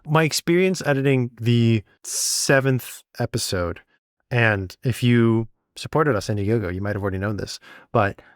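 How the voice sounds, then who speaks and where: A man's voice: medium pitch, normal speed, clean tone, dry recording, studio quality. A man co-hosting a casual behind-the-scenes podcast, talking informally and repeating words as he thinks aloud. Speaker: podcast co-host and sound designer.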